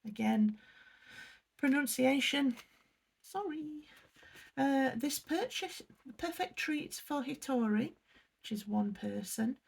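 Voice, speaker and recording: Gravelly-voiced English woman. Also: sits high, animated, slow pace, long pauses. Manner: chatty, apologetic, reads product blurbs aloud. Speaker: unboxing vlogger. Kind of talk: casual chat while unboxing.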